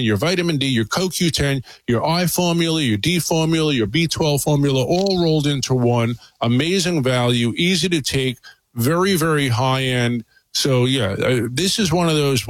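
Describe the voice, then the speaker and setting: A man's voice: mid-range voice, dry recording, rough recording. Chatty male doctor guest, dry sound. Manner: casual, chatty with fillers. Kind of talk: radio interview pitch.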